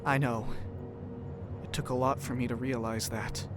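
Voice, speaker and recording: An actor playing an earnest, compassionate doctor in a science-fiction audio drama. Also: male voice, mid-range voice, flat delivery, moderate pace, over music, lightly acted. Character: earnest grieving male doctor, cautious and compassionate sci-fi traveller.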